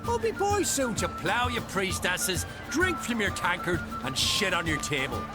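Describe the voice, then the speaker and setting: A man's voice: sits high, wildly swooping pitch, measured pace, exaggerated voice. An actor playing a hot-tempered, foul-mouthed male island chieftain who taunts and swaggers in a game cutscene. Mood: mocking. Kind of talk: video game cutscene acting. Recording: game cutscene with loud score. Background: loud orchestral music.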